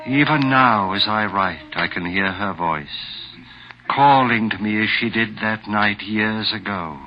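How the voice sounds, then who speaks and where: An actor playing a tormented man who confesses to murder, in slow, deliberate, melodramatic 1940s radio horror style. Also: low-pitched, heavily acted. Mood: weary, haunted. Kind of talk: 1940s radio horror acting, first-person narration. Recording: muffled vintage 1940s radio recording.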